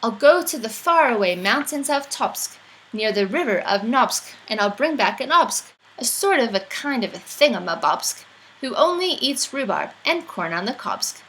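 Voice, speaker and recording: A woman's voice: fairly high pitch, sing-song, moderate pace, a bit bright, slight background noise, rough recording. A woman reading a rhyming children's picture book aloud in a playful, theatrical storytelling manner.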